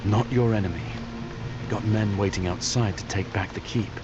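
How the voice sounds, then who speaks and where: An actor playing a stoic fantasy warrior in a video game, curt and understated. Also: male voice, fairly low pitch, steady tone, normal speed, lightly acted. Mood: determined. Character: terse, stoic fantasy warrior on a covert mission. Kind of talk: video game character acting. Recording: dull audio under music.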